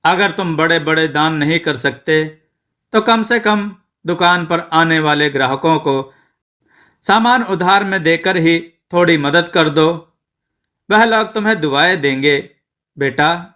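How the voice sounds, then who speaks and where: A man voicing a kindly old village elder in a storybook tale, gently admonishing. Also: on the high side, slow. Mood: earnest advice. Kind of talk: storybook character dialogue.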